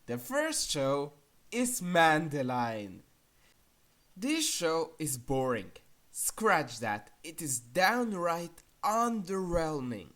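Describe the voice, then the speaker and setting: A woman's voice: sits low, big pitch swings, very slow, fairly bright tone. A woman narrating an opinionated countdown review in a blunt, sarcastic and casually crude manner. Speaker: video reviewer. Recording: music behind the voice. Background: music.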